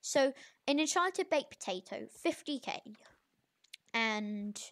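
Young gamer doing a casual tutorial, kinda high voice, talks slow and halting, corrects themself a lot. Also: expressive, a bit bright. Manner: halting, self-correcting, informal. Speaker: gaming video creator, host. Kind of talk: casual game tutorial commentary.

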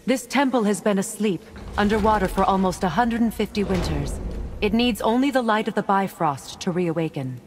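Wise witch goddess lady woman acting, calm n solemn, medium pitch, guiding type, game ambience noise behind her. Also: lightly acted. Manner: measured, instructive, solemn. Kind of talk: video game cutscene dialogue.

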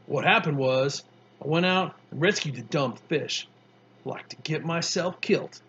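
A man's voice: on the high side, measured pace, muffled recording, slight background noise. A man voicing a brash, streetwise young boy who talks in slangy, boastful bursts. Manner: slangy, boastful, excitable storytelling.

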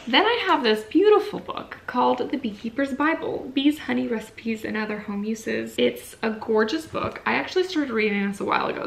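A young woman talks casually to camera in a chatty, informal way. Her voice sits at a middle pitch and often drops into creaky fry. It is recorded in a room at home with faint background noise. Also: a bit bright, poor audio. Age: young adult. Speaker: book vlogger. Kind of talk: casual chat to camera, showing books. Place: small furnished room at home, indoors.

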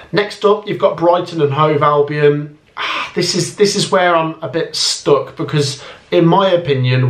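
A young man talks through his rankings in a relaxed, rambling way, pausing with ums as he decides. His voice sits a little high for a man. The sound bounces around a small room at home. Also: echoey room. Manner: chatty, thinking aloud with many ums. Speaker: football YouTuber. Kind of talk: casual ranking commentary.

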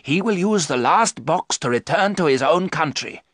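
A man's voice: fairly high pitch, animated, normal speed, a bit bright, dry recording, studio quality, voice pushed up. A man voicing a firm old doctor. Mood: urgent. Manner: firm, commanding. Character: old male doctor, urgent and steadfast.

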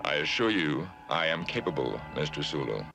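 A man playing a logical, composed alien starship officer in a cartoon, formal and deadpan. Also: a bass voice, normal speed, creaky, over music, heavily acted. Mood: composed, stern. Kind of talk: 1970s TV cartoon acting.

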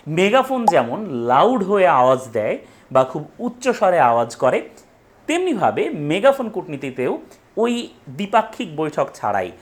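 A young man presents an explainer, emphatically rephrasing his points and posing rhetorical questions. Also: a little high. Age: young adult. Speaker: educational presenter. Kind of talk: explainer talk to camera. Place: small indoor room with bookshelf.

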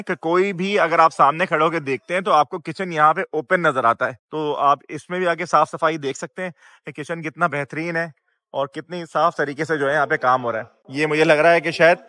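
A man in his thirties with a Pakistani Urdu accent, hosting a casual food vlog outdoors and reacting with excited exclamations. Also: fairly high pitch, normal speed. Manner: excited, exclamatory, chatty with staff. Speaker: food vlogger. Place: outdoor restaurant at dusk.

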